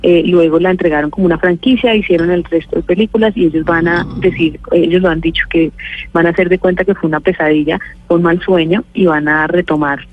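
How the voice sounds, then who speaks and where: A woman's voice: lowish voice, fairly even intonation, a little fast. A woman with a Colombian accent, giving a phone interview as an actress and talking through her answers with many fillers and restarts. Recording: tinny phone line, dry.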